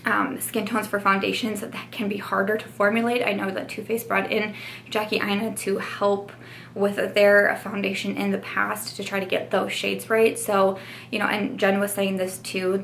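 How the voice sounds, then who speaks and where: A young woman beauty vlogger speaking candidly to her viewers, earnest and hesitant, with many pauses and restarts. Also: mid-range voice, gravelly, a bit bright, slight background noise. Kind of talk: candid apology vlog to camera.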